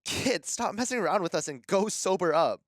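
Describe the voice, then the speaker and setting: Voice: medium pitch, lively intonation, moderate pace, no room sound, clean studio sound, natural voice. An actor playing a harried, exasperated male radio host in an audio drama, stammering and strained.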